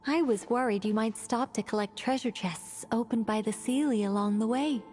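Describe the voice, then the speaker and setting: A woman's voice: a little high, moderate pace, darkish tone, slight background noise, lightly acted. An actress playing a self-assured scholarly woman in a video game, formal and precise as she explains at length. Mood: composed, formal.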